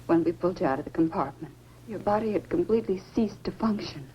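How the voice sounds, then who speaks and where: An actress playing a composed, concerned scientist in the style of a 1950s science fiction film. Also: female voice, on the low side, lively intonation, fast, a little hiss or hum, close to an everyday voice. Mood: earnest. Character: concerned, composed female scientist tending a crashed astronaut. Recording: dull old film soundtrack, faint music.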